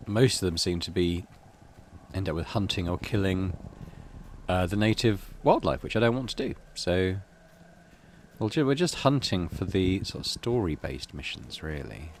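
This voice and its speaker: Middle aged guy doing casual game commentary, lowish voice that moves around a lot, slight noise. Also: animated, normal speed. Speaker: gaming video host. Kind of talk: casual game commentary and read-aloud narration.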